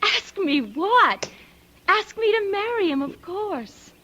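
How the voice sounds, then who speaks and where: A woman's voice: extremely high pitch, very animated, slow, sharp tone, highs cut off, exaggerated voice. An actress playing a headstrong, lovestruck young horsewoman in an old-time radio drama, earnest and emphatic. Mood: coy, hopeful. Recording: noisy vintage radio drama recording.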